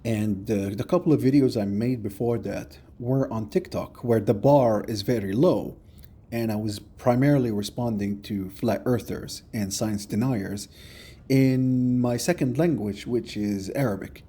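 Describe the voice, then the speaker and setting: Mellow-voiced man explaining carefully. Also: medium pitch, husky. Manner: measured, explanatory. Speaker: video creator responding to another commentator. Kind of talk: response video commentary. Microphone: phone.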